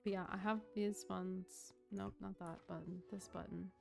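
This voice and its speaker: A woman's voice: medium pitch, steady tone, moderate pace, gravelly, a little mellow. A woman game streamer talking casually and informally to her viewers while she plays. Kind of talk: casual livestream chat while gaming. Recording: game music behind the voice. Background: game music.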